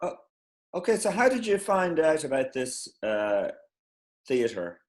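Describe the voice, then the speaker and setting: A man's voice: on the high side, very animated, unhurried, roomy sound. A man hosting a comedy interview show, asking questions in a stumbling way with many fillers and restarts. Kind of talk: casual interview.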